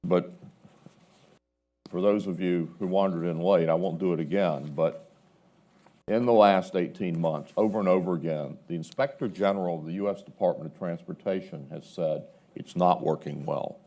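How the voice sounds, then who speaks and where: A man with a very low-pitched, dark and mellow voice speaks as a panelist. He argues his case in a measured but emphatic way, setting out his points like someone citing evidence. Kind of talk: panel discussion, arguing a point. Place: live panel event before an audience.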